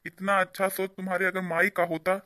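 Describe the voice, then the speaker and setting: A man voicing a mild, henpecked middle-aged father in a cartoon, gentle and resigned, with an eastern Hindi-belt accent. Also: high-pitched, flat delivery, a little fast, no room sound, studio quality. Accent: eastern Hindi belt (Awadhi-Bhojpuri). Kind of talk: amateur cartoon voice acting.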